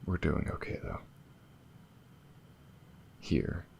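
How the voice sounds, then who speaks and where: A man playing a gentle, wistful alien lover in an intimate ASMR roleplay, speaking softly and hesitantly. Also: slight background noise. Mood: wistful, hopeful. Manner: soft, hesitant, breathy pauses and sighs. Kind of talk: ASMR audio roleplay, intimate monologue to the listener.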